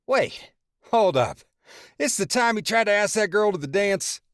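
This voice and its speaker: A man's voice: very high voice, measured pace, gravelly, a bit bright, no room sound, clean studio sound, pitched above the performer's own voice, exaggerated voice. A man voicing a young brother mocking his sibling while laughing hysterically. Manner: shrieking, mocking.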